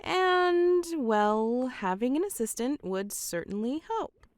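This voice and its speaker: Lively, clear-voiced shrewd sorcery mentor, played by a woman. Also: high-pitched, takes it slowly, no room sound, heavily acted. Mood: coaxing. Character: shrewd, playful female magic mentor and shopkeeper. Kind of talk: medieval fantasy audio drama acting.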